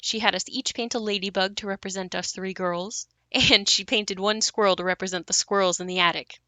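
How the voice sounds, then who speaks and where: A woman's voice: mid-range voice, steady tone, a little fast, bright, forceful tone, no echo, natural voice. A woman acting a wistful, reflective grown daughter haunted by her ruined childhood home in an audio play.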